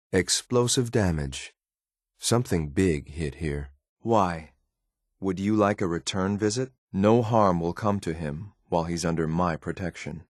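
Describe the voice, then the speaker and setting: An actor playing a stern, loyal synth enforcer in a video game, speaking in a clipped, formal, deferential military manner. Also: male voice, sits low, no echo, studio quality. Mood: cool, observant. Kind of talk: video game companion dialogue.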